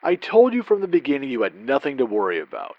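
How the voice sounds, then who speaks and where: Man acting a smug, animated radio husband. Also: mid-range voice, fast talker, no room sound. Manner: breezy, patronising. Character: smug, condescending 1940s husband. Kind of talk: old-time radio drama acting. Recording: dry, dull lo-fi sound.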